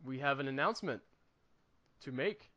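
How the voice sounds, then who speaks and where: A man's voice: medium pitch, lively intonation, normal speed, sharp tone, no room sound, dull audio. A man in his late twenties chatting casually as a podcast co-host, with a joking, self-deprecating manner. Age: late 20s.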